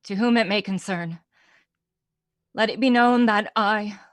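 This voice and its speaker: An actress playing a woman prisoner who gives a written confession in a formal, deliberate reading. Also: medium pitch, no room sound, natural voice. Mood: grave, resolute.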